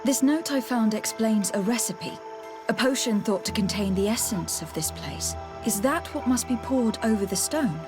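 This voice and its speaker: A woman plays a composed young adventurer in a video game. She speaks in a fairly high voice, measured and full of questions, over clear background music. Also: expressive, natural voice. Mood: curious. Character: composed, inquisitive female adventurer and envoy. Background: game music under the voice.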